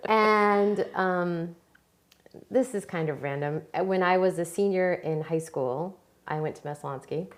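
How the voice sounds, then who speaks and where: A woman's voice: on the low side, measured pace. A woman in her forties from Maine, a new library employee telling her story in a casual interview, bubbly and laughing often. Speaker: library staff member, guest.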